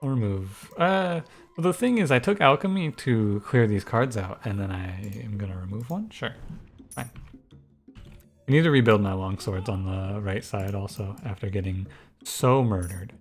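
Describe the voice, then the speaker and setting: Soft-voiced man musing over gameplay. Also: animated, normal speed, faint music behind. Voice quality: soft, soothing voice. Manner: low-key, musing, thinks aloud with frequent restarts. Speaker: gaming YouTuber and streamer. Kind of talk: casual gameplay commentary.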